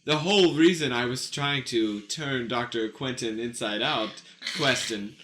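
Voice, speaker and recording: A man plays an eccentric, zealous woman scientist in an improvised radio comedy. The voice is mid-pitched and forceful, with a grand theatrical delivery that treats madness as plain fact. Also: measured pace, slight background noise. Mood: impassioned. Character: zealous, eccentric female mad scientist, dismissive of men.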